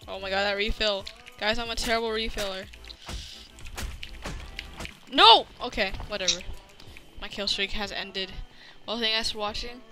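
A thirteen-year-old boy chats casually as he commentates on his gameplay. His voice is youthful and informal, with music clearly playing behind it. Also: high-pitched, steady tone, normal speed, pauses often. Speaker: gaming video host. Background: loud music.

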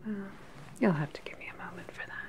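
A woman in her thirties playing a calm, sinister scientist in an ASMR sci-fi roleplay, whispering softly, close to the mic, with a clinical, condescending manner. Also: close to an everyday voice. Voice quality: soft, breathy whisper. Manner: unhurried, clinical, quietly condescending. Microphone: close microphone, seated facing the camera.

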